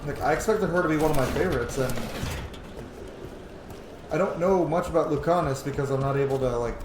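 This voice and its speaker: A man giving casual, excitable gameplay commentary with game sounds behind him, swearing freely as he reacts. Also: fairly high pitch, a little fast. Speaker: let's play host, gamer. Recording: roomy echo, clear noise behind.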